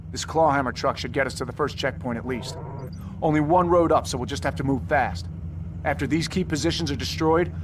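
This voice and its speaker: A man's voice: fairly high pitch, noisy background, natural voice. A man playing a decisive special forces commander in a video game, terse and authoritative. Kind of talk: acted video game cutscene dialogue.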